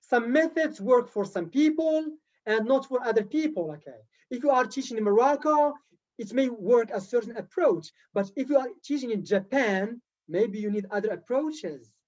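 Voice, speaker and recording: A man's voice: very high voice, animated, normal speed, darkish tone, lo-fi sound. A man leading a live online teacher-training session, lecturing in an encouraging way and repeating his key points. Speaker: TESOL trainer.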